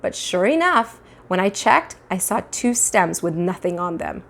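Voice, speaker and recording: Animated woman reading a children's story. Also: on the low side, unhurried, gravelly. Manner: animated, acting out dialogue. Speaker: teacher reading aloud. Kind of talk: expressive children's book read-aloud. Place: small indoor room at home. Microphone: clip-on lapel mic.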